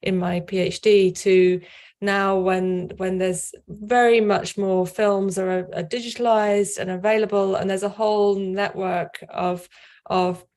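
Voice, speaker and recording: A woman's voice: mid-range voice, little pitch movement, unhurried, a little mellow. A middle-aged woman speaking on a video call from a home office, thoughtful, repeating words as she gathers her ideas. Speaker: author and scholar. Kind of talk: casual panel talk.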